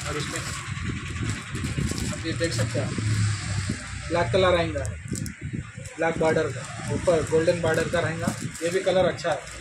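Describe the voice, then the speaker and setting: A man's voice: a little high, level tone, moderate pace, pauses often, heavy background noise. A young man in his shop, walking through products one by one in plain, repetitive sales talk. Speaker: fishing tackle shop owner. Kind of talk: product showcase. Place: small shop with shelves of boxes, indoors.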